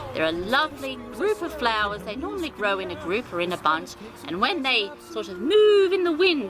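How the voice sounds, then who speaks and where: Woman storyteller with wildly swooping pitch. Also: poor audio. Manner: doting, sing-song, theatrical. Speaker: children's storyteller host. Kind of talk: storytime narration addressed to small children.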